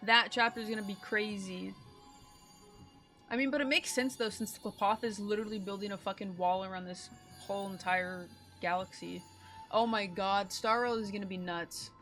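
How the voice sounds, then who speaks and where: A woman streamer with a bright, forceful voice comments casually on a game she is playing. She is excitable and slangy and swears freely. Faint music plays behind her. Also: mid-range voice, normal speed.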